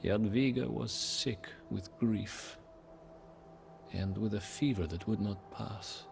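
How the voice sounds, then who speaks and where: An actor playing a solemn, grieving widowed medieval king, narrating his story in a game cutscene with grave, measured pauses. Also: on the low side, music in the background. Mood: sorrowful, remembering. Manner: grave, measured, pausing, often breathy at phrase ends. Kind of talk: dramatic first-person storybook game narration.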